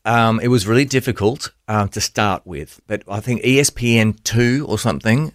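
An Australian man, in his forties, telling his life story in a relaxed interview with jokey asides. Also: lowish voice, moderate pace, no room sound, clean studio sound. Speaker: guest musician.

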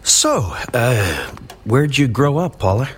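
A man's voice: mid-range voice, big pitch swings, a little hiss or hum. An actor playing a friendly, awkward single man from a small town in an audio drama, speaking hesitantly. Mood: flustered. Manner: hesitant, halting, often flustered. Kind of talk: naturalistic audio drama acting.

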